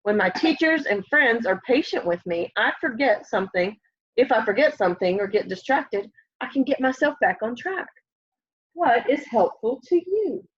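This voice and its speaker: A young woman reads a picture book aloud to children in a fairly high, slightly husky voice. She is expressive and talks straight to her young listeners. The sound is dull and lo-fi, like a home webcam. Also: moderate pace. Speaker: storybook reader. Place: room at home, indoors.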